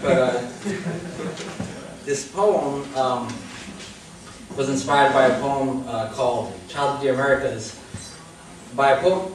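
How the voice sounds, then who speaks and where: A man's voice: medium pitch, slow, long pauses. A young man from Queens, New York, whose first language is Spanish, reading a spoken word poem from paper at an open mic on a small indoor stage. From Jamaica, Queens, New York. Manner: reads from paper, relaxed asides before poem. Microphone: far camera, mic on stand. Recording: echoey room from far off, faint hiss.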